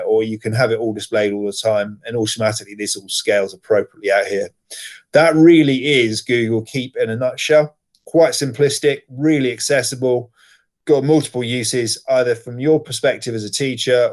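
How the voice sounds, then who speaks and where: A man, a teacher trainer explaining software step by step in a screen-share tutorial. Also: lowish voice. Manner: plain, step-by-step explaining with occasional fillers.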